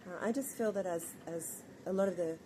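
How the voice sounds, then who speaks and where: A middle-aged woman from Australia answering interview questions in a thoughtful, earnest, measured way. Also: lowish voice, dark tone, low-quality recording. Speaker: guest, founder of an organization. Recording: background ambience noise.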